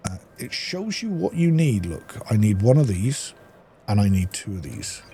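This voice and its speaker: A man's voice: fairly low pitch, sing-song, normal speed, warm tone. A man giving casual commentary as he plays a video game, half talking to himself. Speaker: gameplay streamer. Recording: clearly noisy background.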